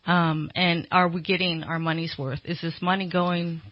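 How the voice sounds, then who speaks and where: Female interviewee, political candidate; explanatory, slightly halting delivery, close dry sound, dull lo-fi recording. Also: fairly low pitch, flat delivery, no echo. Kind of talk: conversational interview answers. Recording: dull, lo-fi radio interview audio.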